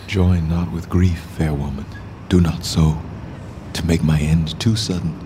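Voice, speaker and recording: Deep-voiced fallen, grieving king. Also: fairly even intonation, a little slow, background noise. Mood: sorrowful, resigned. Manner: formal, poetic, theatrical verse delivery. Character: deposed, grieving, bitter king of medieval England. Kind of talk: Shakespearean radio drama acting.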